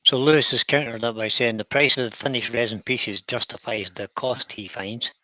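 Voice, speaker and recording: A man's voice: medium pitch, fairly even intonation, moderate pace, bright, forceful tone, low-quality recording. A man co-hosting a live stream, reading out viewer comments and trading jokes. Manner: relays chat messages, joking banter. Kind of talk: casual chat.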